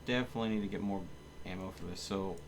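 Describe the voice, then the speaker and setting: Male game streamer, lowish pitch, casual think-aloud commentary addressed to viewers, noticeable background noise. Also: fast. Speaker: video game live streamer.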